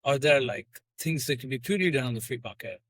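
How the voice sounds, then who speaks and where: A man hosting a casual podcast interview, asking questions haltingly with many restarts. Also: medium pitch, a bit bright, no room sound, clean studio sound. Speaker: podcast host.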